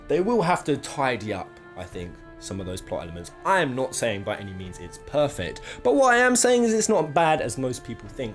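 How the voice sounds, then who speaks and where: A man giving casual, opinionated video commentary as a host, rambling and emphatic, with a bit of swearing. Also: mid-range voice. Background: instrumental music.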